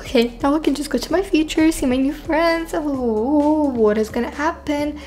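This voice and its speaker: A woman voicing an excitable, self-assured young girl in a game roleplay, chatting and thinking aloud dramatically. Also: high-pitched, moderate pace, close to an everyday voice. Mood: excited. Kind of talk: voiced video game roleplay.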